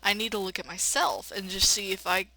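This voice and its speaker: A woman playing a game on camera, switching between dramatic read-aloud narration and chatty, animated commentary with folksy exclamations. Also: mid-range voice, fairly quick, poor audio. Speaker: let's player.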